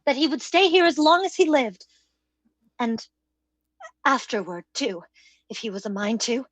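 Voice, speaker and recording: An actress playing a nervous, timid younger sister in an old-time radio gothic drama, fretful and hesitant. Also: female voice, high-pitched, sing-song, a little slow, dry recording, exaggerated voice. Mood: hesitant, secretive.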